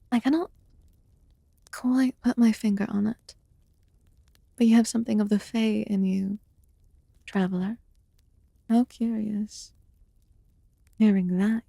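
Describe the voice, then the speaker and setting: A woman voicing an aloof, curious fae witch healer in a fantasy ASMR roleplay, speaking formally and softly, often dropping into a whisper. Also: medium pitch, creaky, no echo, studio quality. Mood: amused, curious. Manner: formal, archaic, deliberate phrasing.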